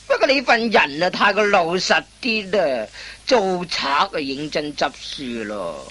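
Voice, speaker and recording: A woman playing a sly old thief, slow drawl. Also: on the low side, big pitch swings, a bit bright, heavily acted. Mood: lecturing, scheming. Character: sly, boastful old veteran thief mentoring an apprentice. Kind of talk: radio comedy drama acting.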